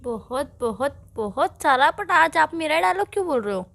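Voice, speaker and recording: A woman's voice: high-pitched, lively intonation, moderate pace, vocal fry, fairly bright tone, full character voice. A young woman playing a devoted but stubborn girlfriend, pleading and protesting in a conversational phone-call skit. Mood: sweet, eager. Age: young adult.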